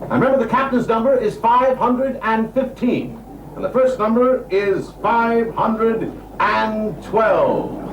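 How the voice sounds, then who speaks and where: An actor playing a brisk, formal male auctioneer in a television drama, projecting and repeating figures in auction patter. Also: high-pitched, muffled recording. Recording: noisy old TV soundtrack.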